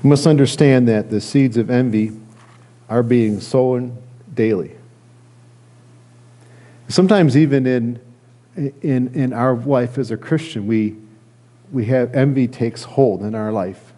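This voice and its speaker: A middle-aged American man giving a sermon as a pastor, speaking earnestly and haltingly, often repeating phrases. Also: medium pitch, mellow. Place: church sanctuary, indoors at a lectern.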